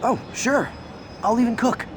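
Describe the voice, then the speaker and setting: An actor playing a friendly, earnest young American superhero in a video game, talking in a casual, slightly awkward way. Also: male voice, very high-pitched, unhurried, background noise. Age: young adult. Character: earnest, friendly young male superhero juggling everyday life.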